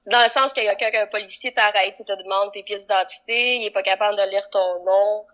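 A woman's voice: medium pitch, fairly even intonation, quick pace, bright, forceful tone. A woman with a Québécois accent talking casually on a video call, loose and hesitant with many fillers. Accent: Québécois French. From Quebec. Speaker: band member, musician guest.

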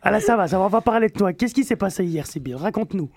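A man's voice: high voice, lively intonation, very fast, creaky. Teasing male radio host. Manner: teasing, bantering. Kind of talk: casual radio chat.

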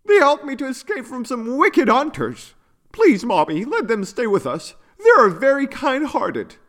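A gentle young unicorn boy from a children's storybook, acted out by a man, speaks in a raised, lilting voice. He sounds childlike and earnest, with plenty of movement in his pitch. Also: wildly swooping pitch, a little slow, full character voice. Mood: happy, eager. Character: gentle young male unicorn, wistful and eager.

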